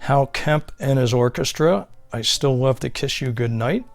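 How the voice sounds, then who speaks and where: A man's voice: mid-range voice, normal speed, vocal fry, soft background music. A man giving a casual, unscripted show-and-tell narration as a collector, reading out items one by one. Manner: unscripted, listing titles and labels item by item. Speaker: record collector presenting his finds.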